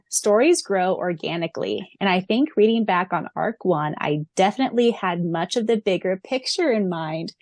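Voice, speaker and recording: A woman's voice: mid-range voice, a little mellow, no room sound. A woman co-hosting a casual fan podcast who reads listener questions aloud and laughs often. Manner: giggly, laughs often between remarks. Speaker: podcast co-host.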